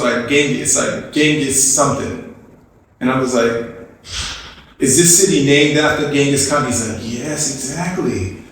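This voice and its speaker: A man's voice: medium pitch, a bit bright. A man hosting an interview podcast, talking in a loose conversational way, often restarting and asking "you know what I'm saying?". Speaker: podcast host. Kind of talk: casual interview chat. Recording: echoey room, mic at a distance.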